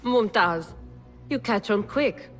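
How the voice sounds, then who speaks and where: A woman's voice: medium pitch, lively intonation, takes it slowly, a bit bright, soft background music. A woman playing a blunt, wry rebel leader in a video game cutscene, matter-of-fact and sardonic. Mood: briskly informative.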